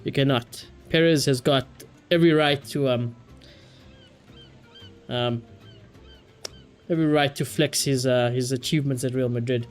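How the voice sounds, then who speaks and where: A man's voice: medium pitch, steady tone, normal speed, long pauses, soft background music. A man live streaming a game, chatting casually and giving opinionated asides, often repeating his phrases.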